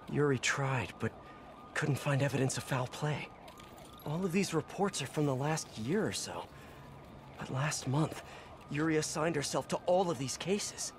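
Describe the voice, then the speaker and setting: An actor playing an earnest young superhero in a video game, thinking aloud in a wry, worried way. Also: male voice, fairly high pitch, noisy background, lightly acted. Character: young male web-slinging hero, earnest. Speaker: game protagonist. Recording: game audio with music.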